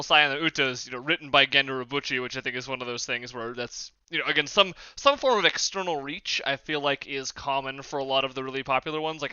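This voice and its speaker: Very bright, crisp-voiced man, dry sound. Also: mid-range voice, no room sound, lo-fi sound. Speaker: podcast panelist. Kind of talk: casual podcast discussion.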